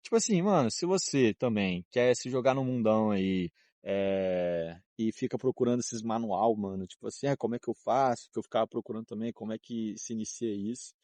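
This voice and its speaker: A man's voice: lowish voice, fairly quick, hardly any pauses, a little mellow, no room sound. A young man from Brazil in his twenties, vlogging outdoors in a rambling, slangy, laid-back way, often drifting off topic and laughing. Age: 20s. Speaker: travel vlogger. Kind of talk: casual rambling vlog. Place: outdoors in cold wind.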